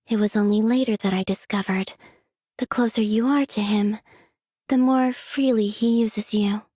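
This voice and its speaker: An actress voicing a gentle, aloof young woman in a video game, with a soft breathy voice and unhurried, wistful, quietly curious delivery. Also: a little high, dry recording, clean studio sound, lightly acted. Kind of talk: scripted game character voice lines.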